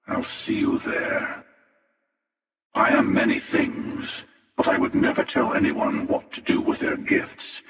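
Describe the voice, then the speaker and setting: Slow, gravelly hunter in cavernous reverb. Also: male voice, fairly low pitch, fairly bright tone, exaggerated voice. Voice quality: gravelly, creaky. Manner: slow, sardonic, taunting. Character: cynical, menacing, world-weary male interdimensional hunter. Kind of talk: video game character voice lines. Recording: cavernous reverb, distant-sounding voice.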